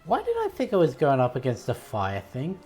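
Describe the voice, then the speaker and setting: A man's voice: medium pitch, wildly swooping pitch, fairly quick, warm tone. A young man with a light Australian accent casually commenting as he plays a game on stream, musing aloud to chat. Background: game music.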